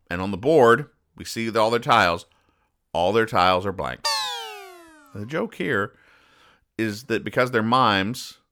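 A man hosting a podcast, explaining jokes in a mock-serious, deadpan and over-explaining way. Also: on the low side. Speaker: podcast host.

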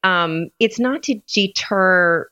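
A woman's voice: mid-range voice, slow pace, pushed and bright, dry recording, dull audio. A woman on a podcast, a lawyer guest explaining workplace law with frequent fillers and restarts. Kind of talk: casual podcast interview.

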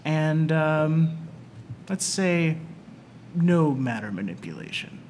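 Guy podcast host, really animated banter, playful storyteller, noisy rumbly roomy recording. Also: slow. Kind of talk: casual group banter, improvised game scenario.

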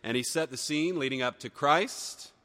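A man preaching a sermon, a little hoarse from a cold, earnest and building emphasis on key phrases. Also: a little high, moderate pace, pushed and bright, in a large hall. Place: church sanctuary.

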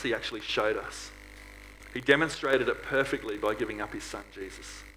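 A man delivers an earnest church sermon in a mid-pitched voice, posing rhetorical questions as he goes. The recording carries some background noise and a hum. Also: bright, forceful tone, rough recording. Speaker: preacher at a church. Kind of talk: sermon to a congregation.

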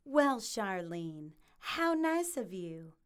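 A woman's voice: mid-range voice, very animated, drawn-out words, clear, resonant tone. A woman playing a prim, gracious book club hostess in a comedic audio drama, polite and proper.

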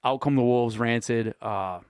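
A man in his late thirties telling stories in a relaxed, casual podcast chat with friends, often laughing. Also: medium pitch, a little slow, clear, resonant tone, no echo, studio quality. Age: 30s. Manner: laid-back storytelling with frequent laughs. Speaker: podcast host.

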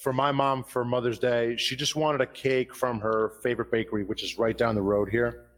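A man's voice: mid-range voice, level tone, normal speed. A man co-hosting a podcast stream, teasing with crude jokes in casual chat. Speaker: podcast co-host.

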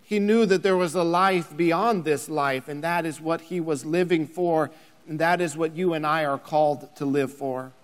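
A man in his thirties preaching a sermon as a pastor, building to emphatic near-shouting. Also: on the high side, moderate pace, big-room sound. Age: 30s.

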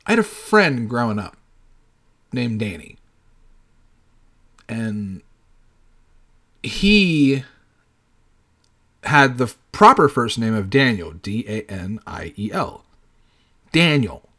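Guy late 30s doing a solo podcast, really lively pitch, rambles and swears, super casual. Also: slow, pauses often. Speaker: podcast host.

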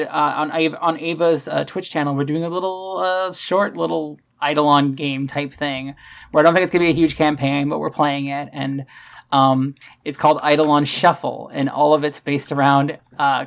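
Female podcast co-host, relaxed conversational delivery, informal chat. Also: low-pitched. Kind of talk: casual chat.

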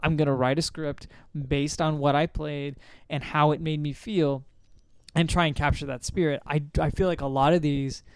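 A man's voice: fairly high pitch, fairly even intonation, normal speed, clear, resonant tone, no room sound. A man hosting a casual group discussion, giving his opinions in a conversational way. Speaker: podcast host.

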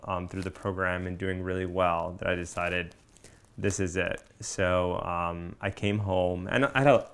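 A man with a deep voice speaks candidly about his own life. There is a clear creak in his tone, and he talks in a reflective, conversational way. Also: fairly bright tone. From Miami, United States. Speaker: storyteller sharing a personal coming-out story. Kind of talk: candid personal storytelling.

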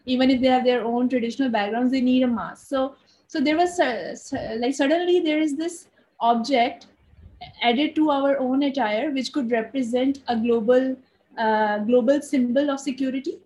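A woman's voice: sits high, moderate pace, darkish tone, low-quality recording. A woman from Pakistan, an artist guest on a video call interview, talking casually with lots of fillers and restarts. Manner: rambling with many fillers and restarts.

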